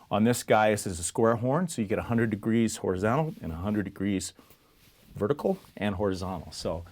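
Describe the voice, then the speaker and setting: Male store presenter, lowish voice, conversational and explanatory, casual product walk-through. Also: darkish tone. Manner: plain-spoken, conversational, explanatory. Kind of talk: casual product comparison talk to camera.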